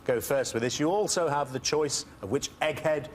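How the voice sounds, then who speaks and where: A man hosting a studio quiz show, welcoming players, explaining rules and reading out questions in a brisk, upbeat way. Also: on the high side, slight background noise.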